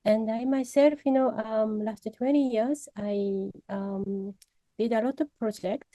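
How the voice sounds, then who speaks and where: A woman's voice: on the high side, a little slow, warm tone, no room sound. A woman curator and art producer introducing herself casually, with many "you know" fillers. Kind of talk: casual self-introduction at an online briefing.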